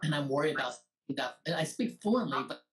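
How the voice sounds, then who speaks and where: A man's voice: fairly high pitch, animated, brisk, fairly bright tone. A man with a Taiwanese Mandarin accent, hosting a talk show and speaking earnestly and emphatically in an interview. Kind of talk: interview conversation. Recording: voice sounds distant from the mic.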